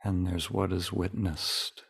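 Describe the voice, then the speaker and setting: A man leading a guided meditation as a teacher, speaking calmly and deliberately in short phrases with long pauses. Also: low-pitched, vocal fry, slightly rough.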